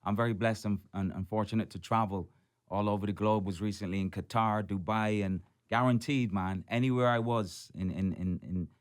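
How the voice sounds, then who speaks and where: A man's voice: fairly low pitch, fairly even intonation, normal speed. A Barbadian soca artist in his forties, telling his story on a radio show in an upbeat way and often saying "man" and "blessed". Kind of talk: radio interview.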